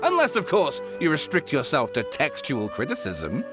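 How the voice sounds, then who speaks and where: A man's voice: a little high, wildly swooping pitch, normal speed, music in the background. An actor playing a wry, bookish young male writer with an articulate, theatrical manner in a video game. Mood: wry, argumentative. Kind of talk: animated video game voice acting.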